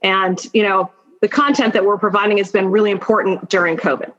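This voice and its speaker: A woman speaking as an interview guest in a conversational, informal way, thinking aloud in halting phrases with many asides. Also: medium pitch, fairly even intonation, a bit bright. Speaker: podcast guest, attorney and executive. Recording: dull lo-fi sound.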